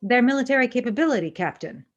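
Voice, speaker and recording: A woman's voice: fairly high pitch, expressive, fast talker, dull audio. A woman playing a coldly logical female first officer in a sci-fi fan audio drama, with clipped, formal, commanding delivery. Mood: cold, stern.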